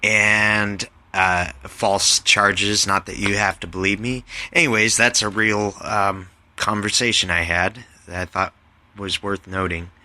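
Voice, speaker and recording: A man of thirty presents his own writing on a podcast. His voice is fairly low and bright, and he takes his time with a very slow, deliberate delivery. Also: fairly even intonation. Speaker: writer reading and explaining own work on podcast. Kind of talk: literary reading mixed with casual explanation.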